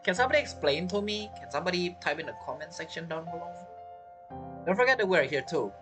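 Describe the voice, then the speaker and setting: A woman's voice: on the low side, expressive, fast. A woman giving casual, reactive commentary as she streams a video game. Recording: game music behind the voice.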